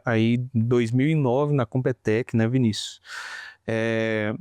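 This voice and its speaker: A man's voice: mid-range voice, a little mellow, no echo, studio quality. Man hosting a university podcast. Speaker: podcast host. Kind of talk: interview hosting.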